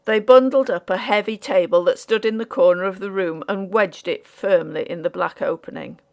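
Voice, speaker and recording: A woman reading scripted audiobook narration in a measured, deliberate way, as for a Victorian ghost story. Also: medium pitch, vocal fry, darkish tone.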